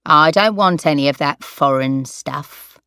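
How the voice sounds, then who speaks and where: A woman's voice: low voice, unhurried, sharp tone, clear, resonant tone, no room sound, clean studio sound, full character voice. A woman voicing a demanding, suspicious and confused grandmother of eighty.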